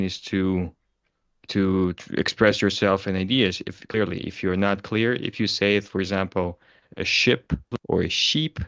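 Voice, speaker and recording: A man's voice: on the low side, fairly bright tone, dry recording, muffled recording. A Russian man with a Russian accent, talking through his views as an interview guest, explaining with examples. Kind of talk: casual conversation.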